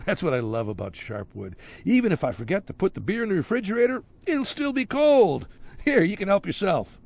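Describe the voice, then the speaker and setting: An actor playing a jovial but menacing small-town crime boss in a game cutscene, rambling with folksy sarcasm and rhetorical questions. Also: male voice, sits high, sing-song, fairly quick, gravelly, a little mellow, exaggerated voice. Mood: jovial, coaxing. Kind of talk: video game cutscene acting.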